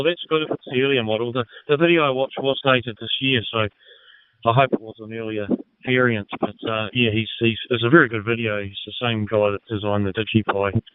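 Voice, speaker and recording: A man's voice: mid-range voice, clear, resonant tone, no echo. A man talking casually and a little hesitantly about technical things as an amateur radio operator, over a two-way radio. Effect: two-way digital radio. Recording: tinny narrowband digital radio transmission.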